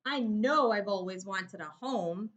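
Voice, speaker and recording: A woman reading a storybook, voicing a small, gentle, childlike male toy bear full of earnest wonder. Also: medium pitch, measured pace, muffled recording, voice pushed down, natural voice. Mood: content. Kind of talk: children's storybook read-aloud.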